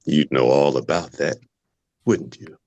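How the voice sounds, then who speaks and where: A man in a table read playing a brooding, unsettling patient who speaks haltingly and deliberately, with pauses. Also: very deep voice, fairly even intonation, a little mellow, dry recording. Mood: brooding, probing. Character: brooding, unsettling male patient, slow and probing. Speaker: actor in a script table read. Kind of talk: dramatic script reading.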